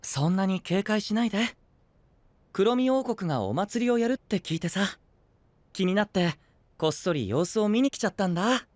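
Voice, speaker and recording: A gentle young male knight in an anime-style audio drama. He sounds boyish, composed and friendly, recorded dry and close. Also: sits high, animated, moderate pace, no echo. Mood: breezy, friendly.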